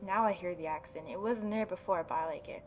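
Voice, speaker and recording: A woman's voice: on the low side, quick pace, low-quality recording. A woman reads a visual novel aloud as a let's play host. She slips between narration and informal, reactive commentary. Light music plays underneath. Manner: chatty, reactive, informal asides.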